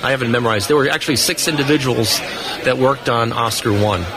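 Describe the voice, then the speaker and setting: A man's voice: mid-range voice, brisk, low-quality recording. Man explaining amid busy crowd noise. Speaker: guest, amateur radio expert. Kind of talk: conversational explaining in a live radio interview. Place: busy indoor convention hall booth. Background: crowd chatter.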